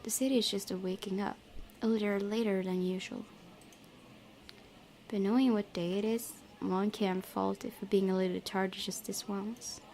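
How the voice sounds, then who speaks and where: A woman reading visual novel text aloud as a game streamer, with expressive, chatty asides. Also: medium pitch, moderate pace, slight background noise. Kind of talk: let's play, reading game text aloud with casual commentary. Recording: game music behind voice. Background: game music.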